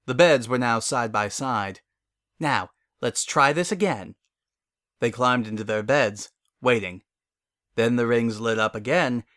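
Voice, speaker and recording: A man's voice: medium pitch, a bit bright, no room sound, studio quality. A man giving a scripted dramatic narration of a story.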